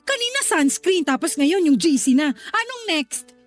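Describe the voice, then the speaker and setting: Bossy filipino big sister in a radio drama, really high voice, always scolding. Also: female voice, big pitch swings, normal speed, soft background music. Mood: exasperated, challenging. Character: strict, nagging much older sister in her thirties.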